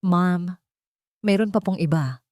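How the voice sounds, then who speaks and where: A woman with a Filipino accent voicing a respectful, careful lawyer in his fifties in an audio love story, deferential and measured. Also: on the low side, darkish tone, no room sound, clean studio sound.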